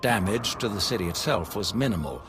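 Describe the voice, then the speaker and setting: A man's voice: lowish voice, animated, fairly quick, close to an everyday voice. Grave, formal male officer played by an actor. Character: grave male military officer reporting losses. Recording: game music behind the voice.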